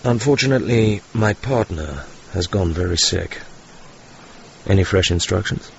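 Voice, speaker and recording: An actor with a British accent playing a suave, cool, determined secret agent in a radio drama, clipped and understated. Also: male voice, low voice, animated, normal speed, creaky. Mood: guarded, deferential. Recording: muffled, noisy radio drama recording.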